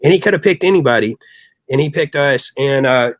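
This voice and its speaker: A man's voice: mid-range voice, lively intonation, brisk, no echo, low-quality recording. Chatty middle-aged man, dry close sound. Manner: chatty, long-winded. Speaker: rock singer, guest. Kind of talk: casual anecdotal storytelling.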